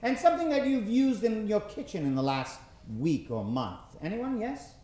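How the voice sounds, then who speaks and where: A man's voice: high-pitched, big pitch swings, moderate pace, warm tone, echoey room. A man hosting a live improv show from a theater stage, with theatrical, showman-like patter for the audience. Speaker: host.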